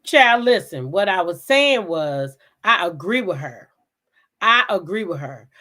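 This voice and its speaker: A woman's voice: fairly low pitch, big pitch swings, a little slow, bright, forceful tone. A woman hosting a casual live reaction stream, talking in a blunt, profane and emphatic way. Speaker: livestream host.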